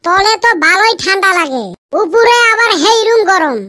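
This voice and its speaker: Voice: extremely high pitch, expressive, normal speed, bright, forceful tone, exaggerated voice. A performer voicing a mischievous village kid in a comedy cartoon, complaining and exclaiming with a northern Bengali accent. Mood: relieved, chatty. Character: mischievous village kid grumbling about the heat.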